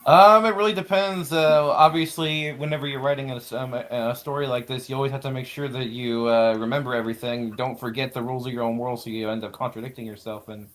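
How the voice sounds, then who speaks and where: A man chatting casually in a group, speaking in a halting way and repeating himself. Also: medium pitch, fairly even intonation, fairly bright tone.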